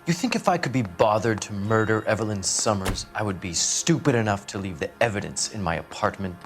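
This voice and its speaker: A man's voice: fairly low pitch, lively intonation, brisk, faint music behind. An American man voicing a smug, evasive, cynical writer under police questioning in a crime game, with a sardonic, articulate manner. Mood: defensive, scornful. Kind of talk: video game voice acting, 1940s crime drama.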